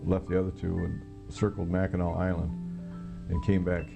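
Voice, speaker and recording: An American man with a low, gravelly voice tells his story in an interview. His delivery is halting and matter-of-fact, with very little rise or fall in tone. Soft guitar music plays behind him. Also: little pitch movement. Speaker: interviewee, former Air Force air traffic controller. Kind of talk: recounting personal experience in interview.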